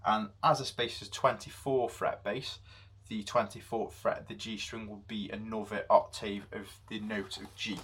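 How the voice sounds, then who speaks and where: A man with a deep voice giving an unscripted music theory lesson, with many pauses and fillers. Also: flat delivery, a bit bright, breathy edge. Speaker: music teacher.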